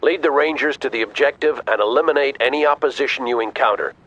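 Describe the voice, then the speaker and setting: Man playing a forceful, stern army commander. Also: medium pitch, fast, highs cut off, soft background music, natural voice. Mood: firm, commanding. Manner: clipped, authoritative military orders. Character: stern commanding male army officer, decisive battlefield leader. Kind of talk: video game radio-briefing acting.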